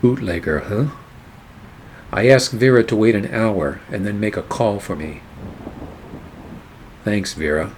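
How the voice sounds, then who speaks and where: An actor playing a hardboiled, wisecracking private detective, narrating a 1940s noir radio play in a deadpan, wry way. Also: male voice, on the low side, a little slow, long pauses, slight background noise. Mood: dry, brooding.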